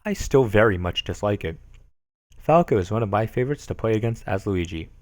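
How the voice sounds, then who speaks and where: A man reading online forum arguments aloud in a deadpan dramatic style, even speaking the punctuation. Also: fairly low pitch, brisk. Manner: reads punctuation and likes aloud flatly. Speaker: reader of online posts.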